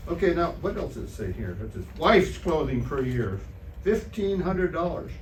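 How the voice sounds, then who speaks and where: A man playing a gullible, excitable, wisecracking husband in an old-time radio comedy, with a folksy, stammering, exclamatory delivery. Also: fairly high pitch, moderate pace. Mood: excited. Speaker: amateur theater troupe actor. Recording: roomy, distant stage recording with faint noise.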